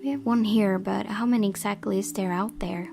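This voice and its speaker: A woman narrates a visual novel with expression, reading as she plays. Her mid-pitched voice has plenty of creak, and music plays clearly underneath. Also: steady tone, a little fast. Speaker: game player reading aloud.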